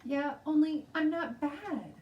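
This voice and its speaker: A woman voicing a childish, excitable and self-justifying little kindergarten girl in a storybook reading. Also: sits high, takes it slowly, dull audio, voice pushed up. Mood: anxious defensive. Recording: roomy, distant mic, faint noise.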